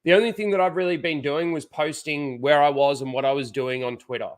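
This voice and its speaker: A man's voice: fairly high pitch, fairly even intonation, a little fast. Stuffy-nosed man rambling on a livestream. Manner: rambling, full of "like" and restarts. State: head cold, blocked nose. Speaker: livestream host. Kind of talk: casual livestream chat.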